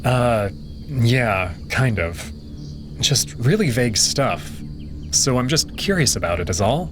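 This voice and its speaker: A man plays a curious young man who talks in a relaxed, everyday way, firing off plain questions. His voice sits mid-range and moves with lively swings of pitch. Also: slow pace, over music.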